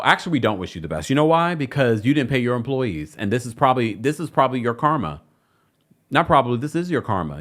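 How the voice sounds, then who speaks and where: A man's voice: medium pitch, a bit bright. A man hosting a celebrity news show, reading the story and adding mocking, sassy asides. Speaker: show host.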